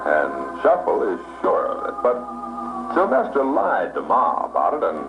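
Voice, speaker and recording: A man playing an earnest, suspenseful announcer on an old-time radio soap opera, with a dramatic, deliberate delivery. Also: low voice, wildly swooping pitch, heavily acted. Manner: dramatic, deliberate recapping with rhetorical questions. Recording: dull band-limited old radio broadcast, music behind.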